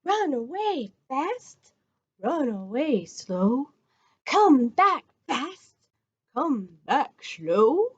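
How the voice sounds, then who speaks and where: A woman's voice: high-pitched, big pitch swings, drawn-out words, no room sound. An American woman leading children's storytime, reading and counting rhymes in an encouraging, sing-song manner, talking straight to the kids. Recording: dull lo-fi audio.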